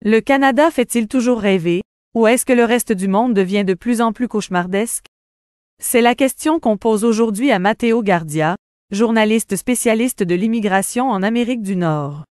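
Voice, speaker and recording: A woman's voice: fairly high pitch, moderate pace, a little mellow, smooth, dry recording, studio quality, natural voice. A woman playing a courteous, formal radio presenter introducing and questioning a guest from a script. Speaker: radio interviewer. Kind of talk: scripted radio interview for a listening test.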